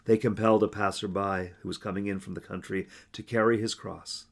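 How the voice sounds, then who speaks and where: A man reads scripture in a measured, steady way. His voice is low and gravelly, with plenty of fry at the ends of phrases. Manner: measured reading, rising loudly for quoted mockery. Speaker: scripture reader. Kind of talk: reading scripture aloud.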